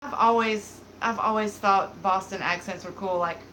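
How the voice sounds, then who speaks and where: A woman's voice: medium pitch, steady tone, normal speed, bright, forceful tone, slight background noise, poor audio. A woman in her thirties with a light Southern accent, chatting casually and rambling with lots of fillers as she hosts a live beauty stream. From American South. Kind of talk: casual live-stream chat reading comments.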